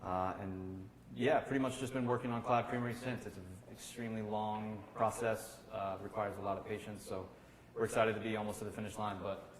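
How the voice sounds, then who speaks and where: A man's voice: lowish voice, fairly even intonation, fairly quick. A middle-aged American man from Kentucky, a chef talking casually and telling stories as a guest. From Kentucky, United States. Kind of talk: casual conversational storytelling. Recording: roomy, echoey room with faint noise.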